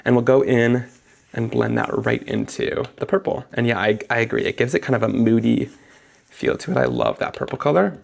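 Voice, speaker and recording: A man's voice: mid-range voice, expressive, a little fast, vocal fry. A young man, sniffly after being sick, chatting enthusiastically as he narrates each step of a live card-making tutorial.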